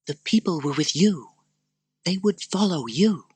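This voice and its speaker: A man voicing a fearful noblewoman, speaking in a raised voice that stays soft and pleading. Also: sits high, expressive, unhurried, slightly rough, no room sound, voice pushed up, heavily acted. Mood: urging.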